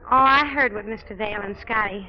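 An American actress playing a naive, devoted young stage hopeful in a 1940s radio drama. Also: female voice, medium pitch, fairly quick, natural voice. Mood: wistful, hesitant. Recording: dull 1940s radio recording, faint music.

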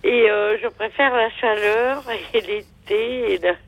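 A woman's voice: a little high, fairly even intonation, measured pace, poor audio. A woman in her sixties calling in to a radio show, rambling and hesitant with many fillers, heard over the phone. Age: 60s. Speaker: radio caller. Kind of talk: phone-in chat.

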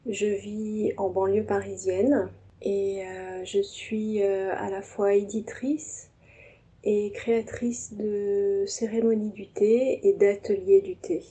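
Slow, soft-spoken woman with a mellow voice. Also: mid-range voice, level tone. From France. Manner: soft-spoken, hesitant with many fillers. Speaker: tea ceremony host and guest. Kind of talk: reflective personal testimony.

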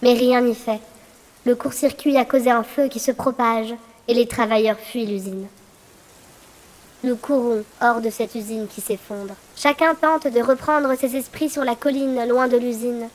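A woman's voice: fairly high pitch, steady tone, unhurried, lightly acted. A woman playing Santa's daughter as a live reporter, polite and dramatic, in a comic audio drama. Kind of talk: mock live news reporting in audio comedy. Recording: long reverberant hall.

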